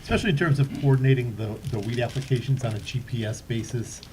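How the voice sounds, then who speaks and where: A man in the chair of a council meeting runs motions and calls votes in a brisk, practiced way. His voice sits at an ordinary pitch. The recording carries steady background noise. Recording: noisy public meeting room audio.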